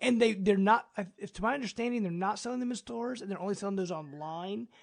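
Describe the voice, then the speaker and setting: A man's voice: high-pitched, quick pace, creaky. An American man chatting casually as a podcast host, upbeat and agreeable. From United States. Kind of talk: casual chat.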